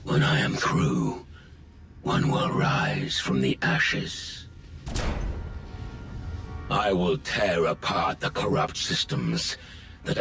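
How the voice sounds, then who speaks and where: An actor playing a menacing, coldly grandiose imprisoned dark lord in a video game, speaking in ominous pronouncements. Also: male voice, deep voice, vocal fry, exaggerated voice. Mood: cold contempt. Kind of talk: dramatic video game villain acting.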